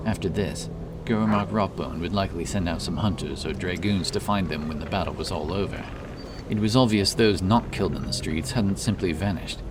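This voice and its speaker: Brief: male narrator, low register, fantasy audiobook storytelling, noticeable ambience behind the voice. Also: noisy background. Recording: clear background ambience under voice.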